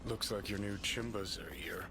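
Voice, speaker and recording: An actor voicing a sneering, foul-mouthed rebel rocker in a video game. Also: male voice, lowish voice, moderate pace, creaky, very noisy. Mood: blunt. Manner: profane, scornful.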